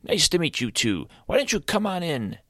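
A small blue-skinned creature with a high, eager voice that jumps around, cheerful and welcoming, as a man puts him on. He talks loud and bright. The sound is dry and close. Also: big pitch swings, normal speed, no room sound. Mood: friendly. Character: small kind blue-skinned male creature, friendly host.

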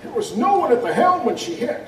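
An actor playing a haunted, fearful boatman who survived a ghost ship, with halting, old-time phrasing. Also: mid-range voice, big pitch swings, recorded from a distance. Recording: echoey, off-mic, ambient noise behind.

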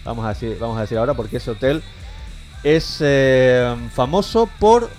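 A man's voice: mid-range voice, moderate pace, a little mellow, soft background music. Rambling Spanish man hosting a podcast. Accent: Spanish, Extremaduran. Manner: rambling, many restarts and fillers. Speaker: podcast co-host. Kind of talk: casual chat.

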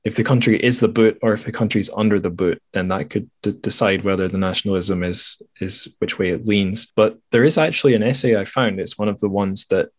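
Low, dry-sounding Scottish man. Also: brisk, hardly any pauses, no room sound. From Scotland. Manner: rambling, thoughtful, self-correcting. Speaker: podcast host. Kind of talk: casual reflective conversation.

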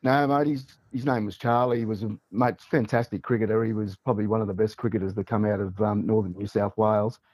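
An Australian man with a lowish, mellow voice talks easily as a guest on a podcast. His delivery is relaxed and chatty, and the sound is dry and close. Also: quick pace, few pauses, no echo, poor audio. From Newcastle, Australia. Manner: relaxed, chatty, says mate often. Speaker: guest, former rugby league player. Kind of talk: casual podcast interview. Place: on the line for a podcast.

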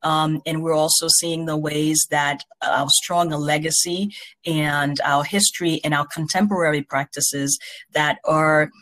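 A university president from Haiti giving thoughtful interview answers in formal academic phrasing, with a measured, earnest manner. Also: medium pitch, steady tone, fairly bright tone, low-quality recording.

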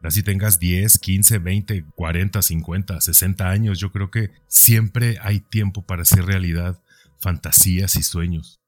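A man's voice: low-pitched, steady tone, a little slow, darkish tone. A man in his forties hosting a podcast, talking through his own life in a conversational, introspective monologue, often checking his thoughts with a questioning tag. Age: 40s. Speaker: podcast host. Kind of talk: reflective personal podcast monologue.

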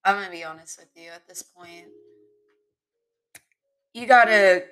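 A woman hosting her own podcast, talking off the cuff in a candid, rambling way, her voice sometimes smooth and sometimes raspy. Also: mid-range voice, measured pace, fairly bright tone, rough recording. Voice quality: voice shifts between smooth and raspy. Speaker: podcast host. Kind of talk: unscripted solo podcast monologue. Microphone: computer mic in web browser.

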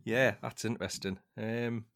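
Male podcast host, lowish voice, hesitant and thoughtful, casual book chat. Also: steady tone, creaky, fairly bright tone. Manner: hesitant, thoughtful, halting phrasing.

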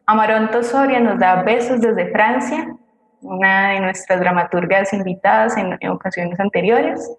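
A woman's voice: mid-range voice, normal speed. A Colombian woman co-hosting, reading out listener messages and greetings in an echoey recording. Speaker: co-host reading audience greetings. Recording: echoey room with faint noise, clicks.

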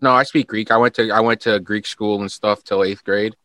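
Bright-voiced New York man, casual. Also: fairly low pitch, steady tone, brisk, no echo. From United States. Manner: laid-back, swears freely, says "like" and "bro" often. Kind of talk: casual chat.

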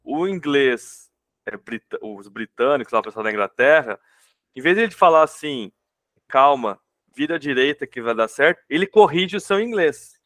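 A man's voice: mid-range voice, animated, moderate pace, a bit bright, no echo, clean studio sound. A man from Brazil with a Brazilian Portuguese accent, hosting a podcast interview in an informal, joking way with colloquial asides. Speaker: podcast host. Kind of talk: casual interview conversation.

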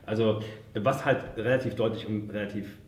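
A man discussing films casually, in a halting way, often ending statements with a short tag question. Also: lowish voice, steady tone, a little mellow. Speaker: podcast host and military historian. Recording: echoey room, distant from the mic.

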